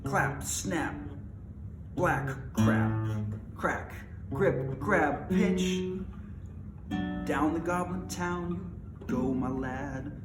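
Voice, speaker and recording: A man's voice: fairly high pitch, drawn-out words, long pauses, loud music behind the voice, voice pushed up. A man voicing a cruel, gleeful goblin in an improvised storybook song, chanted in short percussive bursts. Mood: menacing glee. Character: cruel, gleeful singing goblin driving prisoners. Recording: roomy echo, clearly noisy background.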